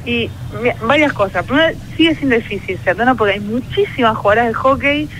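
High-voiced Argentine woman, earnest. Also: animated, fast, fairly bright tone, a little hiss or hum, low-quality recording. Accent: Argentine Spanish. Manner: earnest, thoughtful, many restarts and fillers. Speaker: guest, sociologist and field hockey player. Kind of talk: radio interview answers.

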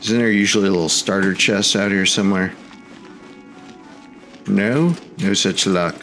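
A man's voice: low voice, fairly even intonation, unhurried, fairly bright tone, soft background music. A man giving casual let's play commentary as a gaming host, talking back to the game's characters. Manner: talks back to game characters.

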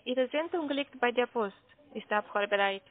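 A woman with a fairly high voice talks in a businesslike way, as a call centre agent would. The sound is a narrow, tinny phone line. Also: moderate pace, a crowd in the background. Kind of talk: phone delivery notification.

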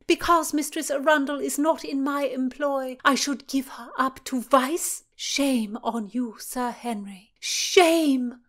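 A woman's voice: high-pitched, a bit bright, voice pushed up. A woman voicing a proud, righteous young princess in an audiobook. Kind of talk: audiobook character voice.

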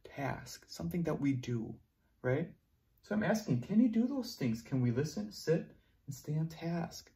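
A young man teaching preschoolers online at a whiteboard, talking slowly and encouragingly in a sing-song way, moving toward and away from the camera. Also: fairly high pitch, sing-song, warm tone. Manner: slow, sing-song, encouraging teacher talk to small children. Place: classroom at a whiteboard. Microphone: camera close up, moving away to write.

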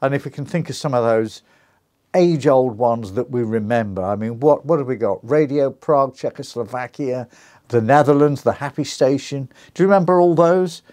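A man's voice: mid-range voice, normal speed, darkish tone. Older British man chatting to camera. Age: 60s. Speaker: YouTube presenter on shortwave radio. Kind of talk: casual informative vlog talk to camera. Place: small indoor radio den full of vintage sets. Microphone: small clip-on lapel mic.

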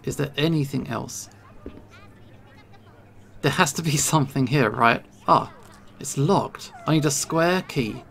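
A gamer giving jittery, nervous let's play commentary, thinking out loud while playing. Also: medium pitch, measured pace, gravelly, long pauses. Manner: nervous, jittery self-talk. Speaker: video game streamer.

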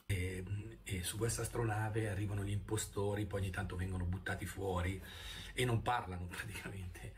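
A man's voice: low-pitched, steady tone, a little fast. A man, chatting casually and loosely as a vlogger. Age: 50s. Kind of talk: casual rambling vlog talk. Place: indoor room. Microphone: phone at arm's length. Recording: noisy phone recording indoors.

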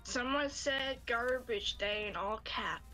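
A woman's voice: fairly high pitch, slow pace, sharp tone. A woman streamer chatting casually in a voice call while gaming, reading chat aloud. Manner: reads chat aloud, playful. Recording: faint game music behind.

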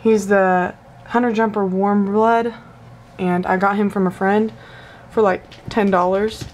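A woman's voice: mid-range voice, flat delivery, measured pace, a little mellow, slight background noise. A teenage girl casually filming a tour of her toy horse collection in her bedroom, chatty and gushing with lots of fillers. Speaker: vlogger. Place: bedroom at home. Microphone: handheld camera mic.

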